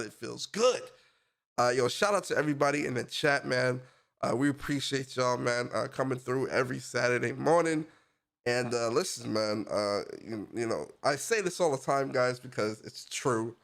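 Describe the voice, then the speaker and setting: A man's voice: mid-range voice, normal speed, vocal fry, a bit bright. A young man with a stuffy, congested voice from a cold, co-hosting a casual gaming podcast in a laid-back, slangy way. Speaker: podcast co-host. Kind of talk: casual chat.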